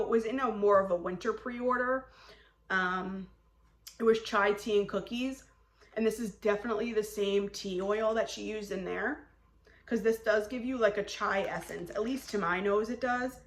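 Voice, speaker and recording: A woman's voice: medium pitch, a bit bright, reverberant. A woman vlogger chatting casually as she reviews scented wax, describing each scent at length. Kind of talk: casual chat.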